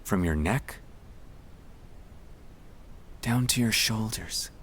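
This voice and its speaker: Slow, low-pitched, gentle man guiding relaxation. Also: slight background noise. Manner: gentle, soothing, reassuring. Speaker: ASMR sleep-aid guide. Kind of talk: scripted ASMR guided relaxation.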